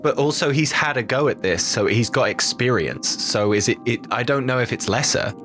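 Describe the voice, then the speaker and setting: A man joins in casually as a player at a tabletop game. His intonation is animated and varied, with light music in the background. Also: mid-range voice, moderate pace. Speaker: player in a tabletop role-playing podcast.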